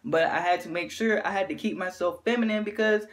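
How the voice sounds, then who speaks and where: A woman with a deep voice gives an animated opinion rant to camera, often checking in with her listener. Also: brisk. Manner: animated, keeps asking "you know what I'm saying". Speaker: vlogger.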